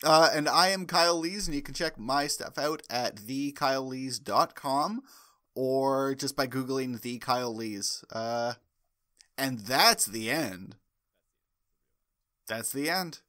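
A man's voice: medium pitch, slow, pushed and bright. A man in his thirties or forties co-hosting a comedy podcast with casual banter. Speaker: podcast co-host. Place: room at home, video call.

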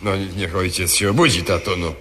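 An actor playing a long-suffering, grumbling middle-aged husband who hesitates and repeats himself in a satirical radio comedy. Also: male voice, deep voice, fast, big-room sound, noisy background, close to an everyday voice. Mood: exasperated.

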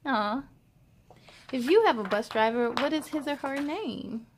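A woman hosting a children's read-aloud, expressive, with chatty asides and questions for young listeners. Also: high voice, measured pace, slight background noise. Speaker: story time host.

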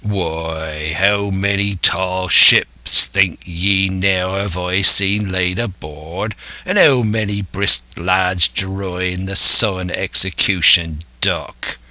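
A man's voice: sits low, fairly even intonation, slow, hardly any pauses, sharp tone, no echo, full character voice. A man voicing a scheming, domineering older pirate ringleader who speaks emphatically and scornfully in a salty seafaring dialect. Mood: exasperated.

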